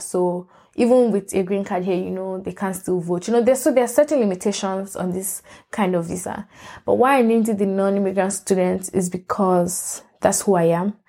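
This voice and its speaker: Mellow woman podcasting. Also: lowish voice. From Nigeria. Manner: rambling, self-correcting and candid. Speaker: podcast host. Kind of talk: casual solo podcast monologue.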